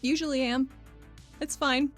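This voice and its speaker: A woman's voice: sits high, fairly even intonation, unhurried, soft background music. A woman playing a weary, sardonic young businesswoman investigator, talking casually and a bit hesitantly. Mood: wry, weary.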